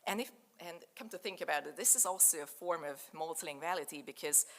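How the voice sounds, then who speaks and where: A woman whose first language is Hungarian, giving an academic lecture in a measured, explanatory way with frequent fillers. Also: deep voice. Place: lecture room in a German-speaking country.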